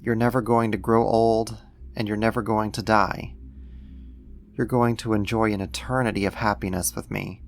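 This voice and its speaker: A man playing a doting alien creator who soothes a human pet, affectionate and gently possessive, in an ASMR roleplay. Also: on the low side, flat delivery, normal speed, creaky. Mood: serene, possessive.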